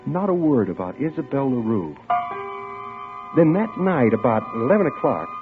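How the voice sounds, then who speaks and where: A man's voice: mid-range voice, big pitch swings, close to an everyday voice. An American actor playing a smitten young bank clerk, telling his story in a wry, musing way in old radio suspense style. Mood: wry, brooding. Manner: wry, musing, dramatic pauses. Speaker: actor narrating a radio drama. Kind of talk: golden-age radio suspense acting, first-person narration. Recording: tinny, band-limited old radio recording with music.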